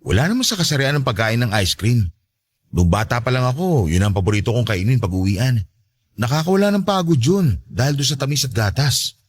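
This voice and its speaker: A man playing a gentle, effeminate, apologetic boyfriend in a radio drama, mild and conciliatory. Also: mid-range voice, lively intonation, fairly quick, husky. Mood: earnest, friendly. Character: gentle, soft-mannered, apologetic boyfriend, effeminate, eager to please. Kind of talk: Tagalog radio drama acting.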